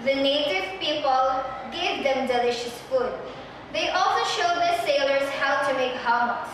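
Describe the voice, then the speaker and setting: A young woman with a high voice narrates a scripted stage reading. She is recorded from far off in a very echoey hall, with noise around her. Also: unhurried, fairly bright tone. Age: young adult. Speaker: narrator in a student readers' theater. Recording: distant mic, very echoey hall, noisy.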